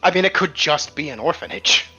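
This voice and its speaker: Bright-voiced male game master, slightly lo-fi. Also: on the high side, expressive, a little fast, breathy edge. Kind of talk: casual explaining of game world lore. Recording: slightly dull lo-fi audio over faint music. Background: faint music.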